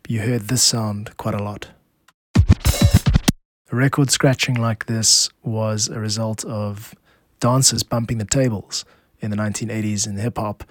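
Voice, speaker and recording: A man with a South African accent, hosting a music podcast in a measured, explanatory narration. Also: medium pitch, no room sound, studio quality. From Cape Town, South Africa. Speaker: podcast host, music producer and composer.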